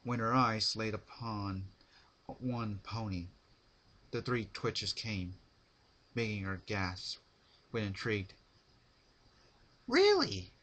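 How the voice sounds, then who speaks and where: A man giving a dramatic scripted story narration, phrased deliberately in short, halting chunks. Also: on the low side, steady tone, sharp tone.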